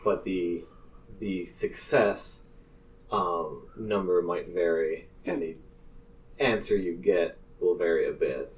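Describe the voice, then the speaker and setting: A man running a tabletop roleplaying game as game master, improvising narration in a deliberate, halting way. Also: low-pitched, steady tone, distant mic, low-quality recording.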